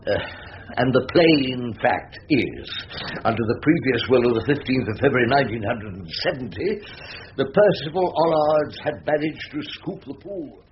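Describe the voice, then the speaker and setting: An actor playing a wry, theatrical older English barrister who argues floridly and narrates sardonically in a radio drama. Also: male voice, mid-range voice, expressive, moderate pace, lightly acted. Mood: courtroom questioning. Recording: dull, very noisy radio drama recording.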